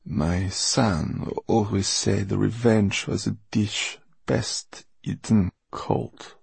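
A man voicing a cold, vengeful, commanding old woman who gloats deliberately in an audiobook. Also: lowish voice, fairly even intonation, vocal fry, a little mellow, husky, no room sound, exaggerated voice. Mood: coldly pleased. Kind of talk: audiobook character acting.

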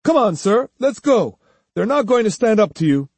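A man's voice: a little high, normal speed, no echo, close to an everyday voice. Man playing an eager, jeering henchman, raised voice. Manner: eager, sycophantic, mocking. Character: eager, jeering young male henchmen of a swordsman.

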